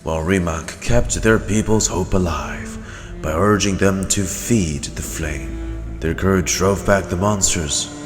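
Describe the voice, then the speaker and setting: Man putting on a slow, deep, solemn storyteller. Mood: solemn, dramatic. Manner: slow, dramatic, portentous. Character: solemn deep male storyteller of a tragic legend. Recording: long reverb under clear music.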